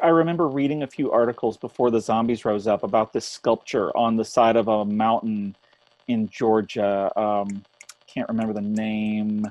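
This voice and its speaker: A man's voice: mid-range voice, darkish tone, no echo, close to an everyday voice, poor audio. A man acting in a radio drama as an earnest, thoughtful radio host after a zombie apocalypse. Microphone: close studio mic on boom arm.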